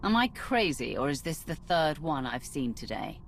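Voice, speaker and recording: A woman's voice: deep voice, sing-song, moderate pace, slight background noise. An actress playing a confident, defiant female fleet commander in a video game, commanding and dryly sardonic. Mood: wry. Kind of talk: video game cutscene acting.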